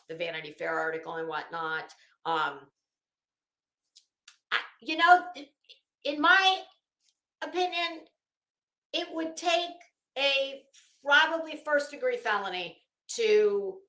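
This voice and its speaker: Bright, high-voiced sardonic woman in echoey room. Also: animated, a little slow, pauses often. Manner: mocking, drily sardonic asides. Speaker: live-stream host and commentator. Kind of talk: sarcastic dramatic reading with running commentary.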